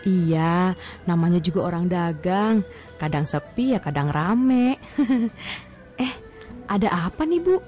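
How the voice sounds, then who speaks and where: A woman's voice: fairly low pitch, animated, takes it slowly, faint music behind. An actress playing a friendly middle-aged woman shopkeeper who welcomes a visitor in a radio drama. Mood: welcoming. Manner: warm, welcoming, laughing easily.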